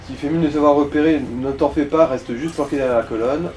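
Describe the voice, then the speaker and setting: A man's voice: on the high side, fairly quick, a little mellow, faint music behind, low-quality recording. A man giving casual let's play commentary as he guides the player, in slangy, crude language full of swearing. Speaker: gaming co-commentator guiding the player.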